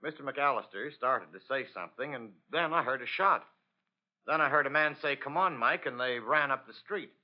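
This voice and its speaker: An actor playing a respectful, plain-spoken chauffeur giving a matter-of-fact account in a 1940s radio drama. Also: male voice, mid-range voice, normal speed, natural voice. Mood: nervous recounting. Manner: deferential, matter-of-fact recounting. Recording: muffled old radio broadcast.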